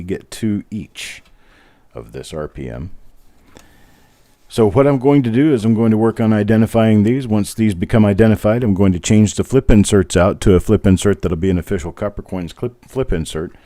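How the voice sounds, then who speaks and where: A man hosting a live coin reveal, with a smooth deep voice, chatting in a relaxed way and making self-deprecating jokes. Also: normal speed, no echo, studio quality. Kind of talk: casual live-stream commentary.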